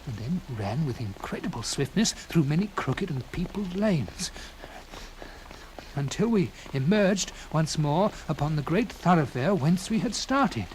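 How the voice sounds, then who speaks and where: A man's voice: on the high side, very animated, a little slow, a little mellow. Noisy recording, man narrating dramatically. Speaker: narrator reading a classic short story. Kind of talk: dramatic first-person literary narration. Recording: clearly noisy with background ambience.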